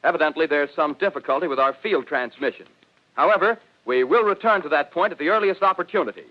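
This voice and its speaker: An actor playing a studio radio announcer in a 1930s radio play, reading bulletins in a formal, measured way. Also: male voice, fairly high pitch, lively intonation, lightly acted. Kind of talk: 1930s radio drama acting. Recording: dull old radio broadcast.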